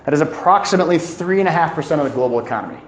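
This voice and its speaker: A man presenting an informal educational talk, speaking emphatically and haltingly, often repeating key phrases for weight. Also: a little high, animated, a little hiss or hum. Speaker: presenter giving a climate talk. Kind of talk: informal educational lecture to a live audience. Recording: echoey room.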